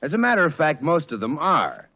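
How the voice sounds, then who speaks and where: Animated, high-pitched American male radio announcer. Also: fairly quick. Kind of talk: 1940s radio advertising and scripted narration. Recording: dull, muffled 1940s radio recording.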